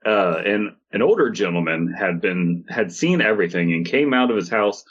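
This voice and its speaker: A man with a fairly low, husky voice co-hosts a podcast. He reads stories aloud and drops into casual, joking banter. His delivery is informal and sweary. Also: lively intonation, normal speed, fairly bright tone, highs cut off. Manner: sweary, jokey. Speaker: podcast host.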